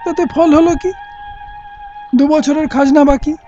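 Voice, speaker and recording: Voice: high-pitched, steady tone, unhurried, mellow. An actor playing a stern, suspicious king in an audio play, speaking in a formal, questioning, imperious way. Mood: stern, accusing. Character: stern, suspicious king, guarded about his rebellious heir. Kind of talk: scripted Bengali audio play acting. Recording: dull lo-fi recording with music.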